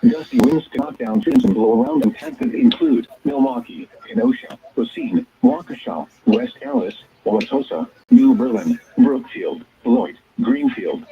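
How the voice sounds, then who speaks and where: Synthetic man's voice, weather radio alert reading, wildly swinging intonation, gravelly creak, stilted formal phrasing, radio broadcast sound. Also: very high voice. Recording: tinny, narrow-band weather radio signal.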